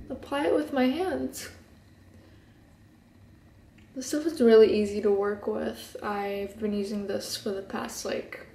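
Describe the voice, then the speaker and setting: A woman's voice: on the high side, a little mellow, slight background noise. Sleepy laid-back young woman, Hebrew native. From Israel. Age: 20s. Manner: laid-back and chatty, many ums and likes. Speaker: beauty vlogger. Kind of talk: casual get-ready-with-me chat. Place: bedroom, indoors. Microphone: camera at close desk distance. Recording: echoey bedroom recording.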